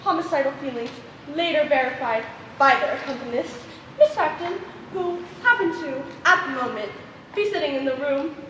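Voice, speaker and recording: A woman's voice: high voice, moderate pace, bright, forceful tone. A woman acting in a stage play as one of the women at a ward meeting. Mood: declamatory. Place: theater stage, far from the mic. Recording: echoey hall from far off, faint noise.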